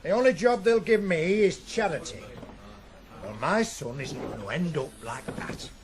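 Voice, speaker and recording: An actor playing a bitter, stubborn middle-aged miner and father with a Northern English accent, gruff and blunt, in a radio drama. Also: high-pitched, animated, normal speed, heavy background noise. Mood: bitter, defiant. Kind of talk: 1980s radio drama acting.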